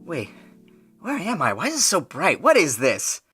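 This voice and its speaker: A man's voice: a little high, huge pitch swings, normal speed, over loud music. A man playing a naive, folksy, easily startled motorist in an old-time radio commercial, with an exclamatory gee-whiz manner. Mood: bewildered.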